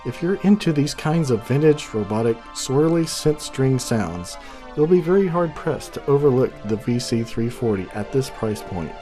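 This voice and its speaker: A man's voice: medium pitch, expressive, moderate pace, warm tone. Man reviewing gear over synth music. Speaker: reviewer, host of a synthesizer channel. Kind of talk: product review narration with demonstration.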